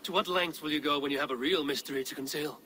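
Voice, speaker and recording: An actor playing a sceptical, impatient Viking warrior in a video game, speaking in a blunt, challenging way. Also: male voice, fairly high pitch, quick pace, bright, forceful tone, a little hiss or hum. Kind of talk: dramatic video game acting.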